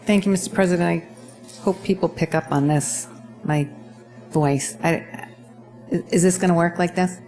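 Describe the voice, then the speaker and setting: A soft-spoken woman city councilor reading a motion and speaking hesitantly in a council meeting. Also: fairly low pitch, moderate pace, pauses often, soft background music. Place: council chamber.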